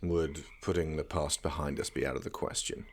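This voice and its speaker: A man acting in an audio drama as a young scholarly prince, speaking with dry, measured, formal wit. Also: extremely low pitch, fairly even intonation, slight background noise. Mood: dry, reserved.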